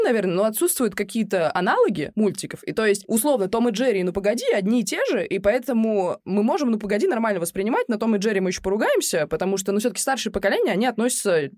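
Fast-talking young woman on a podcast. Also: mid-range voice, a little mellow, dry recording, clean studio sound. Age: young adult. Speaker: podcast co-host. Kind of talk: casual opinionated podcast discussion.

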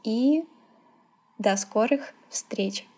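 A woman's voice: fairly high pitch, drawn-out words, a little mellow, slightly rough. A woman hosting a podcast, closing out the episode in an upbeat, friendly manner. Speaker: podcast host. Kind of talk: podcast outro.

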